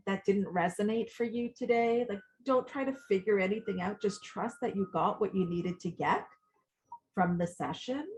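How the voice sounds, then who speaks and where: A woman coach leading a guided visualization meditation, giving soothing, gentle instructions with long pauses. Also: mid-range voice, poor audio.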